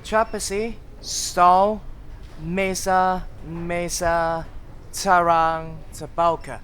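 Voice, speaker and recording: A man's voice: high voice, flat delivery, very slow, a bit bright, exaggerated voice. A young man acting a hesitant, thoughtful linguist in an audio drama. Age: young adult. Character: hesitant, thoughtful speaker of several languages. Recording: faint background noise, home recording.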